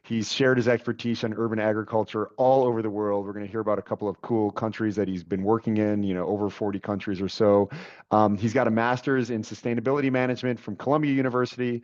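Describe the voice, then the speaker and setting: A man in his forties hosting a webcast interview, chatty and friendly, with fillers and quick interjections. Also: on the low side, steady tone, a little mellow. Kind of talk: casual conversational interview. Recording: dull lo-fi audio.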